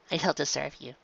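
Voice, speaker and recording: A woman's voice: deep voice, creaky, a bit bright, slightly rough, dull audio, exaggerated voice. A woman voicing a loving, protective pegasus mother in a story, speaking in a tender but firm maternal way.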